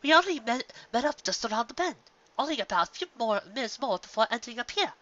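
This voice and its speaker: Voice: mid-range voice, very animated, quick pace, pushed and bright, lo-fi sound, pitched above the performer's own voice. A reader voicing a refined, dramatic and caring female unicorn in a fan fiction story. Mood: earnest.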